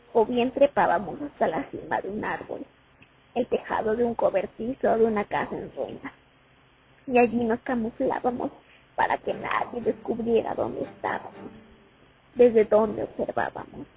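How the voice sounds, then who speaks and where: Actress as haunted young woman sniper, high creaky voice, dramatic radio-play monologue, muffled phone-like recording. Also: expressive, unhurried. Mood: weary, reflective. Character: young female Soviet sniper, haunted war veteran remembering. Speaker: actor in a student radio drama.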